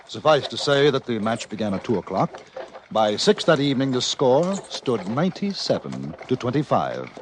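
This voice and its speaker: An actor playing an urbane, formal British newspaper correspondent narrating an old-time radio western. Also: male voice, medium pitch, close to an everyday voice. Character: refined British newspaper correspondent in the Wild West. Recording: noisy old radio recording.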